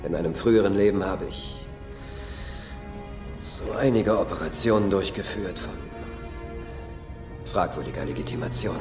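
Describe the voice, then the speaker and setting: A man acting in a film dub as a smooth-talking, ruthless villain, persuasive and controlled. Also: sits low, moderate pace, long pauses, lightly acted. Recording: echoey space under film music.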